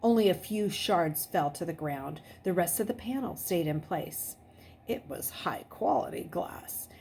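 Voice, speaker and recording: A woman hosting a read-aloud, speaking in an expressive, deliberate storytelling way. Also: fairly low pitch, gravelly. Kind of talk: chatty greeting, then expressive children's novel read-aloud. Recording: noisy background.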